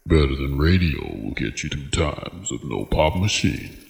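A man's voice: extremely low pitch, sing-song, a little slow, vocal fry. A man voicing a scripted radio promo as an announcer. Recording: long cavernous reverb.

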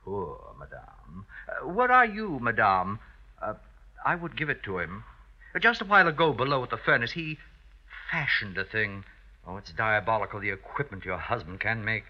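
An actor playing an oily, scheming, falsely deferential family friend in an old-time radio drama, with theatrical, unctuous hesitations. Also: male voice, on the low side, sing-song, moderate pace. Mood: insinuating. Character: oily, scheming, obsequious male friend of the husband. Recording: dull old radio recording.

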